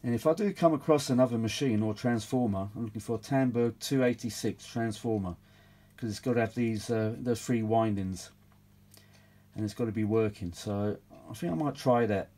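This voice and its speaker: A British man with a fairly low, very mellow and relaxed voice talks off the cuff in a casual, rambling way. He sounds world-weary and grumbles as he goes, with the odd mild swear word. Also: normal speed. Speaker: vintage audio repair hobbyist presenting his own videos. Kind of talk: casual rambling workbench chat.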